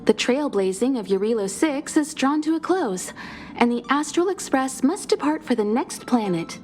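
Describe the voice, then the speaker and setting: A woman's voice: high-pitched, expressive, normal speed, a little mellow, faint music behind. A woman playing a poised, wry crew leader in a video game, composed, articulate and firm. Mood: playful, warm. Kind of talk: video game character acting.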